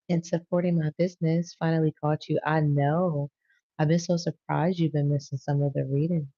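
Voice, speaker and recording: A woman's voice: low-pitched, warm tone, no echo, highs cut off. A woman hosting a spiritual tarot livestream, chatting casually and slangily straight to her viewers. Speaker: tarot reader and livestream host.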